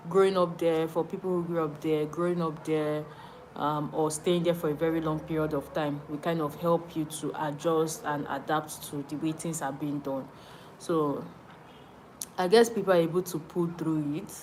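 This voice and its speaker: A Nigerian woman with a Nigerian accent talks casually to her live-stream viewers in a somewhat low voice. She reads their comments aloud and answers them, repeating phrases as she thinks. A faint hum sits behind her. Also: steady tone, normal speed, darkish tone, rough recording.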